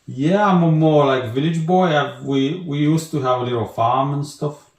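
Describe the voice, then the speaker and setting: A man from Bulgaria with a Bulgarian accent, chatting as an interview guest in a halting way with restarts and many fillers. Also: a little high, echoey room. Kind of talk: casual interview chat.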